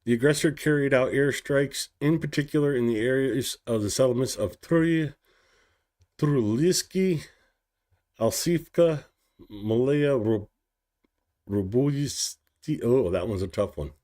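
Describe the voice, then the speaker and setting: A man hosting a daily news stream, reading war reports aloud slowly and stumbling over hard foreign place names, with casual asides. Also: medium pitch, a little mellow. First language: English. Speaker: news show host.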